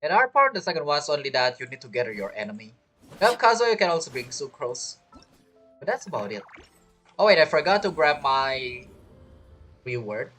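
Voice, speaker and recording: A man's voice: on the high side, very animated, fairly quick, pauses often, fairly bright tone. A man giving casual live gameplay commentary, talking as a gaming streamer. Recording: game music behind the voice. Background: video game music.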